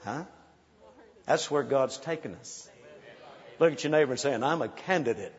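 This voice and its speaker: A man's voice: medium pitch, lively intonation, normal speed. A man in his sixties preaching a sermon, urging the crowd to praise and telling playful stories. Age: 60s. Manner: exhorting, calls for praise, playful storytelling. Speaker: pastor. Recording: muffled sound in a large reverberant hall.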